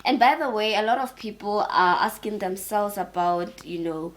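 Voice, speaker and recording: A woman co-hosting a casual chat, speaking earnestly and agreeably, quick to laugh. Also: medium pitch. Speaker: co-host.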